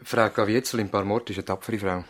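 An actor playing an inquisitive, courteous visiting doctor in a conversational radio drama. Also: male voice, fairly low pitch, fairly even intonation, brisk, fairly bright tone, slight background noise, natural voice. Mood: inquiring.

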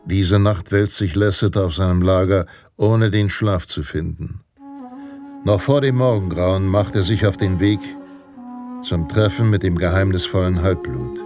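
An actor playing a solemn, grandiose narrator of pulp Western adventures in a radio play, telling it with suspense. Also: male voice, low voice, a little slow, darkish tone. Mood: mysterious, suspenseful. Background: guitar music.

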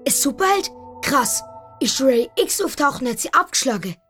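A woman's voice: high-pitched, expressive, moderate pace, a bit bright, soft background music. A woman playing a boastful, easily impressed young boy in a children's audio story. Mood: curious, impressed.

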